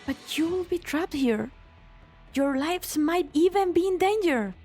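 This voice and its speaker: A woman's voice: very high voice, animated, measured pace, darkish tone, soft background music. A woman playing an earnest, anxious young female pioneer in a game fan dub. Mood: earnest, worried. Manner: earnest, urgent.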